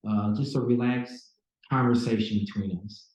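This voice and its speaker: A young man from Minnesota moderating a youth panel in a relaxed, halting way with many fillers. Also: fairly low pitch. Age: young adult. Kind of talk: hosting a panel discussion. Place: large echoey conference room. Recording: echoey room, dull sound.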